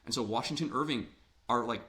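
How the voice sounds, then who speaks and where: A man's voice: mid-range voice, fast talker, bright, forceful tone. A man from Ohio giving a literature lecture in a conversational way, with rhetorical questions, his voice a little worn. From Ohio, USA. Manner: conversational, asks rhetorical questions, repeats words when emphasizing. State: slightly losing his voice.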